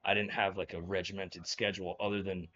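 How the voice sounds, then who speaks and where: A man's voice: deep voice, level tone, fast talker, bright, forceful tone, lo-fi sound. A young man from New Jersey telling stories as a guest in a casual interview, rambling with lots of fillers and laughing often. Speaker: guest, former college wrestler.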